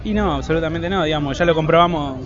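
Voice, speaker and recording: A man's voice: on the high side, fast. An Argentine-accented man giving earnest, emphatic, unscripted answers in an interview. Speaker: street interviewee. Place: outdoors in a city street. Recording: dull outdoor street recording.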